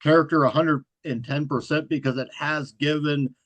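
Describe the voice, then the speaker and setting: A man as a guest in a casual interview. He speaks in a self-described monotone, even manner. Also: a little high, moderate pace, no echo. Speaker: guest, horror content creator.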